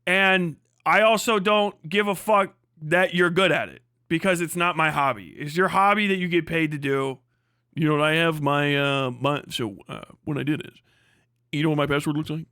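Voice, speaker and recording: A man's voice: fairly high pitch, normal speed, bright, forceful tone, clear, resonant tone, dry recording, studio quality. A man, perhaps middle-aged, ranting casually on a livestream in a brash, mocking, profane and emphatic way. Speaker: livestream host.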